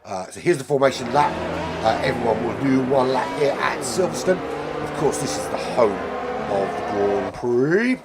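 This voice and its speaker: A middle-aged British man with a hoarse voice who is losing it, commentating a live sim race in a chatty, rambling way with casual swearing. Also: medium pitch, lively intonation, moderate pace, heavy background noise.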